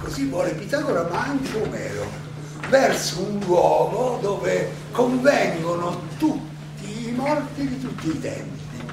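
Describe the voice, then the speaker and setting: A man playing an elderly condemned Greek philosopher, defiant yet serene, delivering a deliberate, halting theatrical monologue full of rhetorical questions in an echoey, noisy recording. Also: high voice, big pitch swings, gravelly, far from the mic, exaggerated voice. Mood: musing, serene. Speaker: stage actor.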